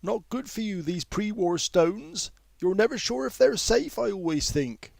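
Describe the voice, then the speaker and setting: A man's voice: high-pitched, lively intonation, unhurried, vocal fry, dry recording, pitched above the performer's own voice, full character voice. A man voicing a chatty, fussy older woman making small talk in an audiobook. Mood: fussy complaining.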